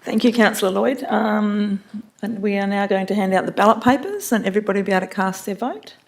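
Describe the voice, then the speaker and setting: An Australian woman, the mayor, chairing a council meeting in a brisk, procedural way. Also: medium pitch, vocal fry.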